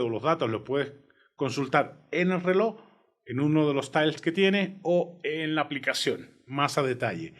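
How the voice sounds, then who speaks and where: A man with a Cuban accent giving a conversational, enthusiastic product review from his home studio. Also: on the high side. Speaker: tech reviewer.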